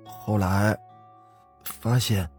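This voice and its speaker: A man's voice: on the low side, fairly even intonation, extremely slow, a little mellow, faint music behind. A man voicing a nervous, deferential steward of a haunted household, hesitant and stammering. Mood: uneasy.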